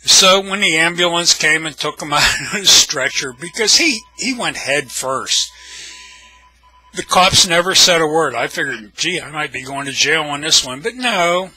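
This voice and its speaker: A man's voice: fairly high pitch, expressive, moderate pace, pushed and bright, slightly rough, soft background music, low-quality recording. An older man with an American accent, telling anecdotes casually in a blunt, wry, salty way. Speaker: storyteller, video blogger.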